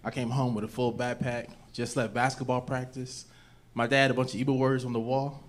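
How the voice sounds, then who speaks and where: A man's voice: medium pitch, level tone, fairly quick. A man with an American accent telling stand-up stories into a stage mic. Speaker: stand-up comedian. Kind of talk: stand-up storytelling. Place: indoor comedy club stage. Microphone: handheld stage mic. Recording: noisy live club recording, played back.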